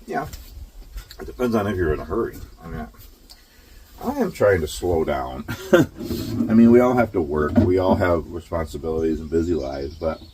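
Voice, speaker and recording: A man's voice: sits low, big pitch swings, normal speed, pauses often, warm tone, a little hiss or hum, poor audio. A man in his late fifties, a truck driver chatting casually and rambling easygoingly while sitting outdoors under a shelter in the rain, recorded on his phone. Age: 50s. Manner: rambling, easygoing, chuckles often. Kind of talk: casual outdoor vlog chat. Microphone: phone camera.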